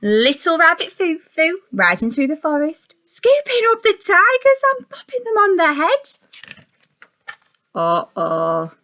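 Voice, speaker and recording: A woman's voice: extremely high pitch, huge pitch swings, unhurried, pushed and bright. A woman teacher reading a picture book to young children in a sing-song, exaggerated storytelling way, with questions to the listeners. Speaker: nursery and reception teacher.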